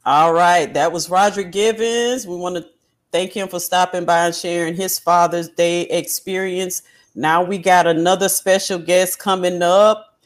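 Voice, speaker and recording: High-pitched male host wrapping up. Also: steady tone, unhurried. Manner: polite, thanks guests, introduces segments. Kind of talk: radio-style interview hosting.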